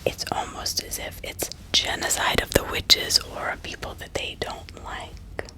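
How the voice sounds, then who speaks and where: A woman narrating true crime in a breathy ASMR whisper, deliberate and often repeating words and phrases. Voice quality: breathy, mostly unvoiced whisper. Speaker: ASMR host.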